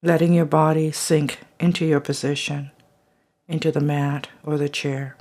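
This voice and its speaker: Soft, slow meditation guide. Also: medium pitch. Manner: slow, soft and calm, trailing into whispers at phrase ends. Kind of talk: guided body scan meditation.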